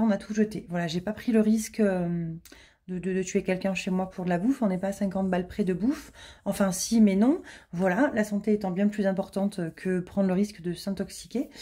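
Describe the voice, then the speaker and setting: A woman in her late thirties chatting casually to camera at home about her monthly budget, laughing often and filling pauses with lots of hesitations. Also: medium pitch, mellow. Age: 30s. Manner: chatty, self-deprecating, laughs often, many fillers. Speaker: budgeting vlogger. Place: home, indoors.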